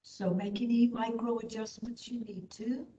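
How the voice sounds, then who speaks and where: A woman leading a guided meditation as an instructor, speaking in a soothing, gentle, unhurried manner. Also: on the high side, steady tone, slight background noise. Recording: echoey room, slightly dull sound.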